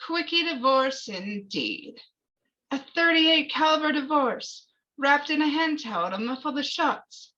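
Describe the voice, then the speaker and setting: A woman playing a pressing, sarcastic courtroom prosecutor in a dramatic screenplay reading, deliberate and accusatory, on a lo-fi recording. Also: sits high, expressive, bright, forceful tone, exaggerated voice. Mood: sarcastic, accusing. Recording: dull, lo-fi recording.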